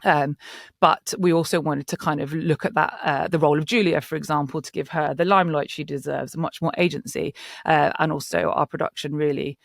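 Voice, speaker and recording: A man's voice: on the high side, steady tone, fairly quick, vocal fry, no room sound, clean studio sound. A man talking enthusiastically as a producer in a panel interview. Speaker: audio drama producer.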